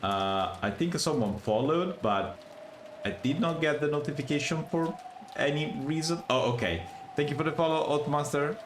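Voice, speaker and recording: A young man with a thick Italian accent, a non-native English speaker, chatting casually while streaming a game. He talks haltingly, stopping and restarting as he searches for words. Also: a little high, a little hiss or hum.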